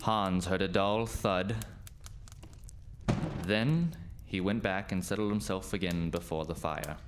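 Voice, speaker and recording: A man's voice: deep voice, takes it slowly, slight background noise. A man narrating a scripted folk tale as the storyteller in a radio play.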